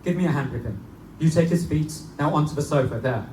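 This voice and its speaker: A man's voice: a little high, fast, slight background noise, natural voice. A man playing a young Victorian medical student who narrates a gothic horror tale in formal, old-fashioned language before a live audience. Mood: urgent, concerned. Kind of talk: live dramatic reading of gothic pulp horror. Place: live performance in a bookstore. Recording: echoey distant live room recording, loud guitar.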